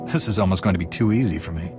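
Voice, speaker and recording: An actor playing a confident, earnest young warrior apprentice in a video game, assured and direct. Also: male voice, low-pitched, rapid-fire, music in the background, natural voice. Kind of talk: video game dialogue acting.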